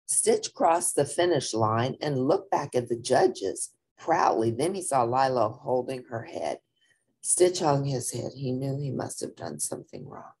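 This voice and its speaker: A woman reading a children's story aloud in an animated, dramatic, expressive narrating style. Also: rumbling low, a little slow, a little hiss or hum.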